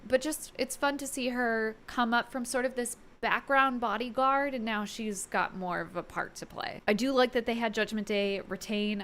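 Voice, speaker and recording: A woman's voice: mid-range voice, fairly bright tone. A woman giving casual, enthusiastic opinion commentary as a video host, chatty and informal with emphatic delivery.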